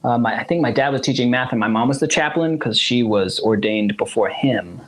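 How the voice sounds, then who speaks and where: A man's voice: medium pitch, fairly quick, a bit bright. A man in his forties from South Africa whose first language is Zulu, telling his family story as a musician guest in a casual interview, rambling with frequent laughs and restarts.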